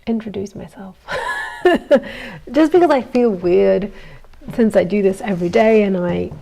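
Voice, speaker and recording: Chatty goldsmith woman with gravelly undertone. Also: medium pitch, measured pace, mellow. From Italy. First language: Italian. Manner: chatty, self-deprecating, with frequent ums and small laughs. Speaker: goldsmith streaming from her workshop. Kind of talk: casual live-stream chat while working.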